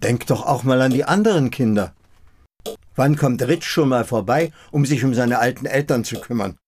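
An actor playing an elderly husband in a German radio drama, calm, resigned and tender, speaking in short plain sentences. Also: male voice, medium pitch, moderate pace, lightly acted. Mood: matter-of-fact. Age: older. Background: music.

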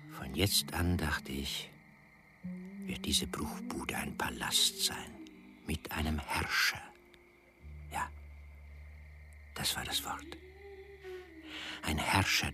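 An actor playing a poor, downtrodden farmhand who narrates his own story in a hushed, intense way in a radio play. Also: extremely low pitch, full character voice. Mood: brooding, awed.

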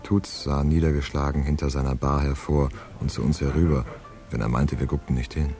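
An actor playing a laconic, world-weary hard-boiled man in a radio crime play, understated and deadpan. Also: a bass voice, a little fast, gravelly, faint music behind. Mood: deadpan, reflective. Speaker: narrator and lead character in a radio crime play. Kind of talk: scripted hard-boiled first-person narration and dialogue.

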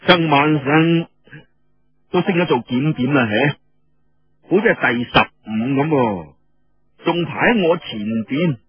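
A man's voice: a little high, breathy edge, highs cut off, full character voice. A man voicing a swaggering, teasing rebel officer in Cantonese, blustering and mocking as he repeats his words.

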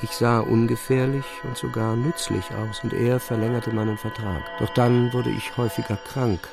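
An actor playing an obsessive, haunted young physicist, narrating his own story in an introspective, halting, intense way. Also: medium pitch, fairly even intonation, gravelly, over music, close to an everyday voice. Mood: absorbed, brooding. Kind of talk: first-person narration in a literary audio drama.

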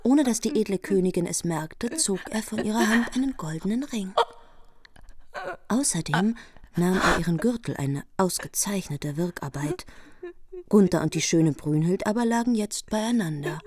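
A woman's voice: medium pitch, lively intonation, moderate pace, darkish tone, no room sound, studio quality. A woman reading scripted narration for a radio play of an old legend.

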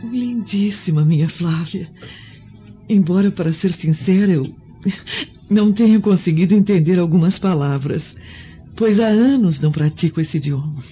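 An actress playing a gentle, devout middle-aged Roman mother in a radio drama, speaking tenderly and earnestly. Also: lowish voice, moderate pace, natural voice. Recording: muffled audio under music.

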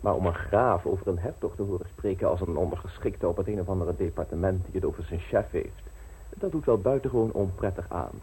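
Man acting smooth ironic gentleman plotter, radio play, lowish mellow voice, wordy and polished. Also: brisk, slight background noise, lightly acted, poor audio. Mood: teasing. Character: suave scheming gentleman political fixer, urbane and teasing.

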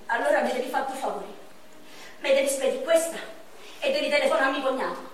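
A woman voicing a frail, very thin young man who talks softly and jokes about himself, in a stage drama played on radio. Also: high voice, expressive, quick pace, far from the mic, slight background noise. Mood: urgent. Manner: soft-spoken, joking self-mockery. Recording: very echoey stage heard from afar.

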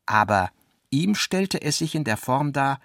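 A man narrating a literary radio drama in a deliberate manner, with a gravelly voice. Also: mid-range voice, very animated, fairly bright tone, no room sound, studio quality.

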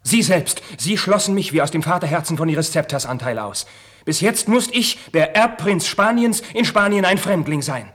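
Fervent young prince, slightly husky. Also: male voice, fairly high pitch, lively intonation, moderate pace, a bit bright. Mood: anguished, urgent. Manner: declamatory, fervent, theatrical stage diction. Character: impassioned young Spanish crown prince, proud, idealistic, wounded son. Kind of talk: 1950s German radio drama acting.

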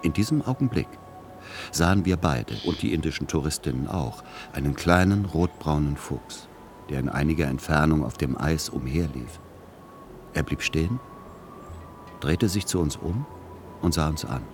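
Very deep-voiced, weary middle-aged son. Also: male voice, expressive, normal speed, over music. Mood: resigned, reflective. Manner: resigned, introspective. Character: weary, submissive middle-aged writer son, resigned narrator. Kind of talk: radio play narration and dialogue.

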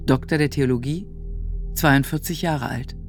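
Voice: medium pitch, measured pace, vocal fry, music in the background. Eine Sprechstimme trägt in einem Hörspiel als Gerichtsschreiber förmlich und sachlich Personalien der Zeugen vor. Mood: formal, factual. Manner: formal, factual announcing. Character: formal court clerk reading out witness records. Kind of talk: scripted radio play announcement.

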